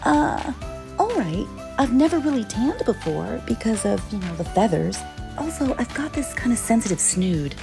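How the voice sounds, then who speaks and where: A woman's voice: on the high side, big pitch swings, moderate pace, gravelly, over music. A woman playing a naive turkey hen. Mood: worried. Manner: chatty, increasingly alarmed. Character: naive young female turkey on a makeover show.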